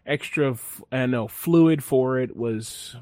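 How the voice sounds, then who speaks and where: A man's voice: medium pitch, slow pace, warm tone, no room sound. Laid-back rambling man, dry close sound. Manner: rambling, laid-back storytelling with many fillers and restarts. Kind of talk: casual chat.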